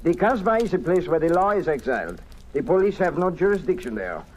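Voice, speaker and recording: An actor playing a courteous, grave Foreign Legion colonel with a French accent and a formal, emphatic delivery in a radio drama. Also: male voice, fairly high pitch, big pitch swings, brisk. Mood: grave, warning. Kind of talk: old-time radio drama acting. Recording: noisy old radio recording.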